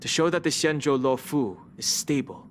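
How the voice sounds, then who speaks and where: An actor playing a calm, reserved young man who speaks in a reassuring way in a game. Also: fairly high pitch, moderate pace, faint music behind, natural voice. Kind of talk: game voice acting.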